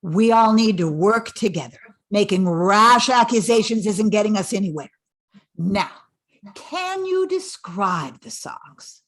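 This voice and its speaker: Older lady doing a serious detective in a silly radio skit, slow but swoopy, calming people down. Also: mid-range voice. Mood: calm, official. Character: earnest, calming investigator solving a trivial household case. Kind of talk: amateur comic radio play acting.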